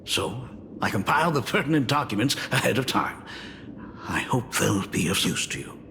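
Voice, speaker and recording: A man's voice: mid-range voice, very animated, normal speed, creaky, noisy background. A man voicing a doting, fretful middle-aged school dean in a video game, with a theatrical, fussing manner. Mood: composed, formal. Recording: long reverb under background music.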